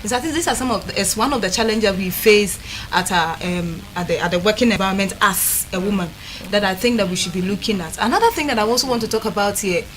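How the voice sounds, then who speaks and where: A woman's voice: mid-range voice, quick pace, few pauses, a bit bright, poor audio. A Ghanaian-accented woman panelist in casual discussion, switching languages and searching for words. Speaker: talk show panelist. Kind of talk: casual discussion, mixing English with a local language. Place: studio. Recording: faint noise in studio recording.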